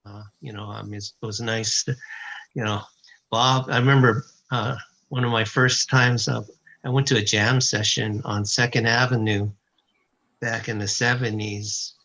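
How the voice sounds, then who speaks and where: A man on an arts panel giving advice, reflective and pausing often with uhs and ums. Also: fairly low pitch, flat delivery, pushed and bright, rough recording. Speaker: artist panelist. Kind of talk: panel answer.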